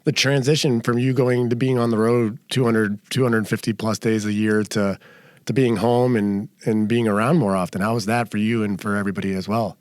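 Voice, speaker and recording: A man's voice: lowish voice, steady tone, brisk, darkish tone, no echo, studio quality. A man hosting a casual interview, asking friendly questions with some hesitation. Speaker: host.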